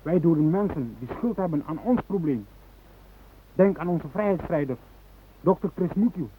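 An actor in a radio play playing a fanatical, impatient militant hostage-taker who speaks in an emphatic, agitated, declamatory way. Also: male voice, on the high side, animated, normal speed, narrow, tinny audio, close to an everyday voice. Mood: fervent. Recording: thin, noisy old radio recording.

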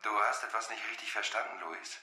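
A man's voice: lowish voice, quick pace, pushed and bright. An actor playing a brutal police sergeant in a radio drama, threatening and insistent as he questions a suspect. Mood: brutal threat.

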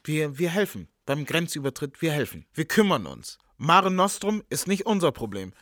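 An actor playing a cocky, indignant smuggler with a colloquial, provocative manner in a radio play. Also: male voice, fairly high pitch, big pitch swings, moderate pace, fairly bright tone, no room sound, studio quality. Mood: indignant, casual. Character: cocky, indignant male smuggler, casual self-styled helper and philosopher.

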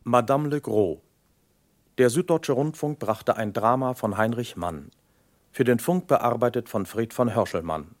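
A male announcer reads scripted credits for a radio play. His pitch is middling. His delivery is formal and measured, in an old broadcast style.

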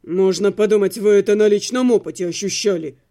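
A woman's voice: lowish voice, fairly even intonation, measured pace, warm tone, voice pushed down, lightly acted. A woman voicing a gloomy, sarcastic young man in a fantasy audiobook, grumbling his lines. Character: gloomy, sarcastic young male companion, frightened.

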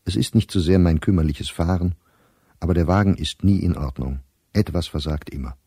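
Very deep, weary professor reading his diary. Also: brisk, mellow, slightly rough, dry recording, studio quality. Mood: exasperated. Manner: precise, clipped telegraphic diary phrasing. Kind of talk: radio play diary monologue.

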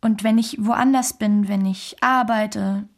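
A woman playing a composed, strong-minded Chinese wife, reminiscing in measured, simple phrases in a documentary radio play. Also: medium pitch, steady tone. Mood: reflective.

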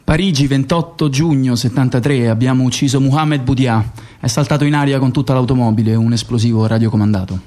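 An actor playing a self-justifying secret agent in radio theatre, with clipped, declarative testimony. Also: male voice, mid-range voice, steady tone, a little fast, mellow, lightly acted. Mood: cold, factual.

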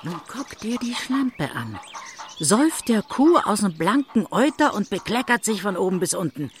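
A woman's voice: medium pitch, big pitch swings, normal speed, lightly acted. An actress playing a spiteful older village mother in a radio play, nagging and coarse, with farmyard noise behind. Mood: scolding. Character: scolding, spiteful old village mother of a policeman. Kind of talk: German radio play acting.

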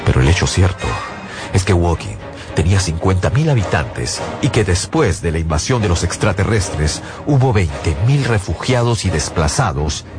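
A man's voice: low-pitched, very animated, normal speed. An actor playing a haunted survivor, a husband and father, narrating a radio drama as grave first-person testimony over music. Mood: solemn, bitter. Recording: loud music bed behind the voice.

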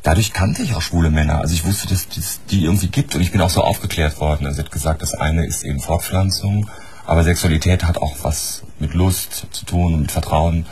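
A man's voice: low voice, brisk, darkish tone, a little hiss or hum. A man in his thirties who grew up in Norway, a studio guest talking frankly and articulately about his life. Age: 30s. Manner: frank, articulate, self-assured. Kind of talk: candid interview talk. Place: radio studio.

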